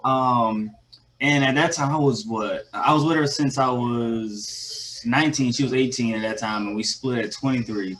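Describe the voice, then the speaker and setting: A man's voice: medium pitch, fairly even intonation, moderate pace, fairly bright tone, poor audio. A man sharing candid personal stories as a guest, often checking in with "you know what I'm saying.". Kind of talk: casual conversation.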